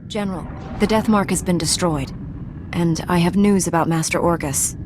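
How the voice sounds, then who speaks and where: A woman's voice: fairly low pitch, normal speed, natural voice. Casting: actress for heroic young female Jedi knight, formal and earnest, game cutscene, faint ambient noise behind. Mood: resolute. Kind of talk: video game cutscene acting.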